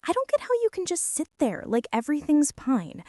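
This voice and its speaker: A woman's voice: high voice, wildly swooping pitch, fairly quick, mellow, no echo, clean studio sound. A woman playing a bratty teenage girl bully in an ASMR roleplay, whining and sarcastic as she complains straight to the listener. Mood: sarcastic, annoyed. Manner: whiny, sarcastic, exasperated complaining with sighs. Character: bratty teenage girl bully, grumbling, secretly softening toward tentmate.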